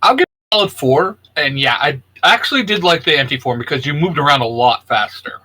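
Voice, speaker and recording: A man co-hosting a relaxed geek discussion, giving his opinions in an informal, conversational way. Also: on the high side, fairly quick. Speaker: co-host of a geek culture show. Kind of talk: casual chat, reviewing video game designs.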